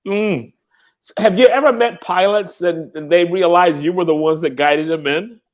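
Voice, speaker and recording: A man hosts a relaxed interview, nudging his guest along with short affirmations. His voice sits somewhat high for a man. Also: moderate pace. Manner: encouraging, leads with short affirmations. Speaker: host. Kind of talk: casual interview chat.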